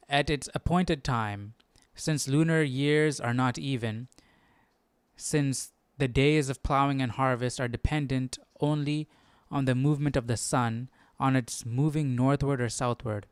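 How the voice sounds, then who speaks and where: A man hosting a Bible study livestream, casually thinking aloud and reading articles out loud in an inquisitive, informal way. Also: medium pitch, unhurried, smooth, no room sound. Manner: inquisitive, informal, talks to himself.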